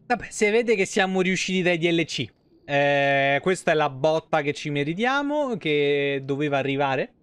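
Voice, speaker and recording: High-pitched, clear man streaming. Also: expressive, moderate pace, fairly bright tone, dry recording. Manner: slangy, sweary, sarcastic. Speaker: game streamer. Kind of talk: live gameplay commentary, casual chat. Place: small room at home, indoors.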